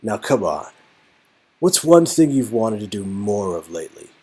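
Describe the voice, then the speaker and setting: Romantic game lead read by a man: young guy, low slightly rough voice, earnest, teasing, emphatic delivery. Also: very animated, normal speed, warm tone. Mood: encouraging. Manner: encouraging, playful, emphatic. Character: earnest, teasing young man comforting his love interest.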